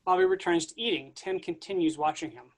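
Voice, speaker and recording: A man reading stage directions aloud in a staged play reading, steady and plain. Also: fairly high pitch, quick pace.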